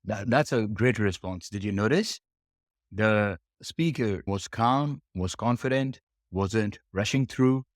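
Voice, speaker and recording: A man's voice: on the low side, slow, dry recording, studio quality. A man teaching a test preparation lesson, explaining step by step and repeating phrases for emphasis. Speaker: English test preparation instructor.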